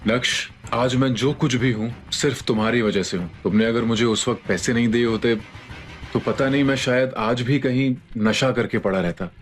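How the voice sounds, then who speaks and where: A man's voice: mid-range voice, normal speed, lightly acted. An actor playing a loyal, self-made businessman friend in an audio drama. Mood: grateful. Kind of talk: Hindi audio drama acting.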